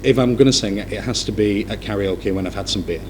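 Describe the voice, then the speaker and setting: A man's voice: lowish voice, fast. Young man, English teacher, casual live chat, easygoing and wry, faint room noise. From Manchester, England. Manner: relaxed, self-deprecating humor.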